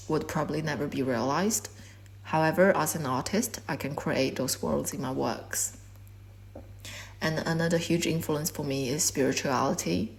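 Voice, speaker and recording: A woman in her twenties whose first language is Korean gives a measured, reflective talk about her art. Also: sits low. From China. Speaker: artist. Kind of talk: prepared artist talk.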